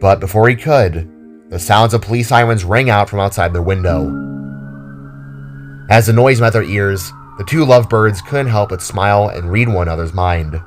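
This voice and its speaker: Low-voiced man narrating over music. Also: normal speed. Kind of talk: scripted audio drama narration. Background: background music.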